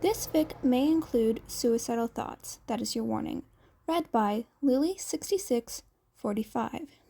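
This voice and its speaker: A woman with a high voice narrates a story at an unhurried pace. She reads like an amateur audiobook narrator, expressive and following a script. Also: husky. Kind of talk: scripted narration of fan fiction.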